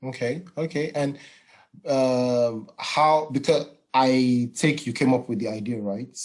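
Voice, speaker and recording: A man's voice: medium pitch, a little mellow. Man hosting a chat. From Nigeria. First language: Yoruba. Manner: halting, many fillers and restarts. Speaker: podcast host. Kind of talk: casual interview chat.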